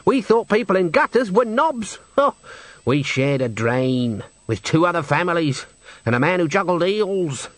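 Brief: male narrator plays storybook opera singer from the slums, wistful, hesitant, grumbling, high and lively pitch. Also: a little slow, dry recording. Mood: plaintive. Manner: hesitant, sighing, complaining.

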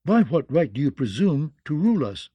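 A man's voice: fairly high pitch, very animated, normal speed, slightly rough, no room sound, muffled recording. A man voicing a shrewd, frank noblewoman who speaks formally and pointedly in a fantasy audiobook. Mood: frank challenge. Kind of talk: fantasy audiobook character acting.

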